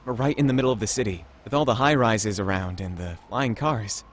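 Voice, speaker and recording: A man's voice: on the low side, brisk, slight background noise, voice pushed down, natural voice. A man voicing an earnest, wistful male android companion in a visual novel, with music behind him. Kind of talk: visual novel character reading.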